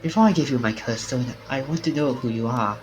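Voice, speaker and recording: A man's voice: medium pitch, fairly quick, natural voice, poor audio. A man voicing a wary, defiant male protagonist in a visual novel, speaking bluntly and emphatically. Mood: startled, wary. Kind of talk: visual novel character reading. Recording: game music behind the voice.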